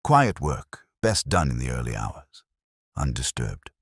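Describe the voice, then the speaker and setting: A man's voice: rumbling low, very animated, a little slow, no echo, clean studio sound, exaggerated voice. A man voicing a bland, evasive retired solicitor in a detective story, formal, fussy and resigned. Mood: evasive explaining.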